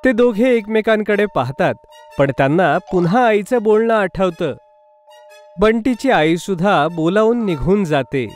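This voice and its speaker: Casting: male narrator for children's cartoon tales, notably high voice, light music underneath. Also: expressive, unhurried. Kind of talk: scripted children's story narration.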